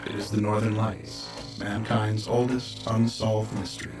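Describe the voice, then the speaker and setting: An actor playing an ominous narrator of eerie tales, delivering solemn, measured, dramatic scripted lines. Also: male voice, lowish voice, little pitch movement, distant mic, over music.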